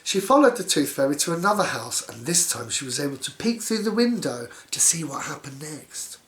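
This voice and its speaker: A man's voice: on the high side, big pitch swings, fairly quick, fairly bright tone, echoey room. A man reading a children's story aloud, dropping in sarcastic, mocking asides as he goes. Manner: sarcastic, mock-commentating on the story. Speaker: storybook reader.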